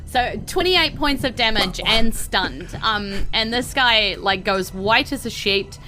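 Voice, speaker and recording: A woman's voice: on the high side, lively intonation, slow pace, poor audio. A woman game master improvising narration for a tabletop game in a casual, chatty, giggly way.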